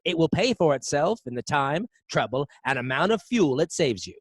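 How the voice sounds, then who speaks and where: Man as 1930s radio pitchman, earnest, emphatic, lively intonation, dry close sound. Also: normal speed, no room sound. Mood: salesmanlike. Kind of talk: old-time radio advertisement.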